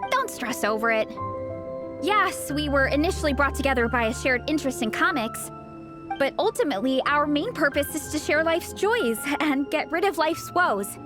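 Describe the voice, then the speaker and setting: A woman's voice: high-pitched, expressive, moderate pace, music in the background. A woman playing a cheerful, earnest young female fan club captain in a video game, enthusiastic and encouraging. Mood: reassuring. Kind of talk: video game character acting.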